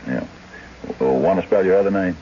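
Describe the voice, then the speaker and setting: Man playing deep-voiced folksy country lawman. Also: fairly quick, natural voice. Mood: genial, official. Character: folksy rural American lawman, plainspoken and wary. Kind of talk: old-time radio drama acting. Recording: dull, hissy radio drama recording.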